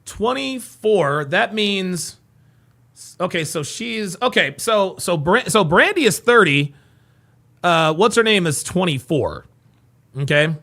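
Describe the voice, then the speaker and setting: Man, reaction host, bright forceful voice, lively swooping delivery, mocking repetition, casual commentary. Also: high-pitched, takes it slowly. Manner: animated, mocking repetition of others' words.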